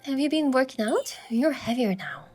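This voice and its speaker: A woman voicing an excitable, playful young heroine in love, in a romance game with first-person storytelling. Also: sits high, moderate pace, slightly rough, exaggerated voice. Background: music.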